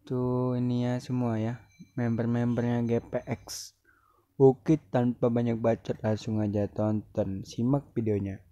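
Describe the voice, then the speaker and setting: A young man from Indonesia, hoarse from a cough, chatting casually to viewers while commenting on a game replay. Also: medium pitch, flat delivery, a little slow, dark tone, highs cut off. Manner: slangy, chatty, keeps addressing viewers. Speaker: gaming YouTuber.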